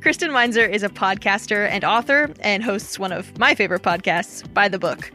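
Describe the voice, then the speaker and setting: A woman's voice: mid-range voice, moderate pace, pushed and bright, faint music behind. A woman co-hosting a podcast interview, eagerly introducing the guest and asking questions. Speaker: podcast co-host.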